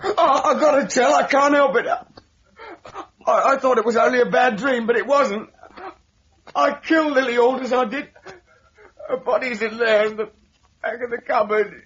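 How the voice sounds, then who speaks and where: An actor playing a meek, nervous, guilt-ridden lodger in an old radio drama, with a husky, halting, stammering delivery. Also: male voice, extremely high pitch, fairly bright tone, full character voice. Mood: anguished confession. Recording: muffled lo-fi vintage radio recording.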